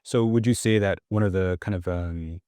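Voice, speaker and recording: A man's voice: low voice, moderate pace, mellow, dry recording, studio quality. A man hosting an interview podcast, asking conversational questions in a tentative, roundabout way.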